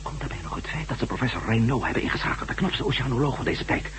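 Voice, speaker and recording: An actor playing an uneasy adventuring former astronaut and reporter in a Dutch radio drama, musing and repeating himself hesitantly. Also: male voice, medium pitch, lively intonation, rapid-fire, close to an everyday voice. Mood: intrigued. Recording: noisy old radio drama recording.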